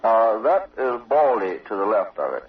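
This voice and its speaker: A man's voice: fairly low pitch, very animated, moderate pace. Formal soldier, male, on distant muffled recording. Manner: formal, matter-of-fact military phrasing. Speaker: army sergeant, interviewee. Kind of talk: answering a news interview. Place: outdoor observation post. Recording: dull, distant old radio field recording.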